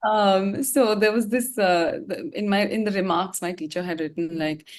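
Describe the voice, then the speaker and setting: A woman's voice: mid-range voice, normal speed. A woman from Gangtok, India, a singer chatting casually as an interview guest, informal and reflective in a rambling way.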